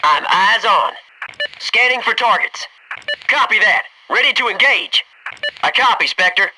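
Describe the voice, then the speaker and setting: Video game soldier: young, cocky, loyal, very animated delivery of clipped military callouts, noticeably noisy recording. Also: male voice, high-pitched, unhurried. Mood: businesslike. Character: young male military sniper-spotter, cocky, keen, loyal squadmate. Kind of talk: video game combat voice lines, short radio callouts.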